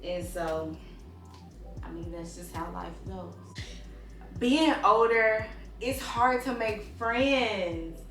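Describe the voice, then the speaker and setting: A woman in her thirties hosting a casual chat, animated and slangy, laughing often. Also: mid-range voice, takes it slowly. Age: 30s. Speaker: host. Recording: roomy sound from a distance with music.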